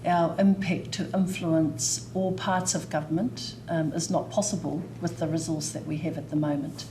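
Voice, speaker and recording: Low voiced woman, hesitant, lots of ums, roomy echo and noise behind. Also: fairly even intonation. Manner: hesitant, slow, with fillers. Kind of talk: interview answer. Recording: echoey room with background noise.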